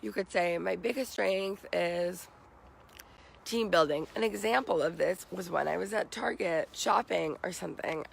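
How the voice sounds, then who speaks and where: A young American man with a raspy, morning-hoarse voice, giving casual advice to viewers as a vlogger. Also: sits high, measured pace, a little hiss or hum, rough recording. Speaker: vlogger giving job interview tips. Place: outdoors.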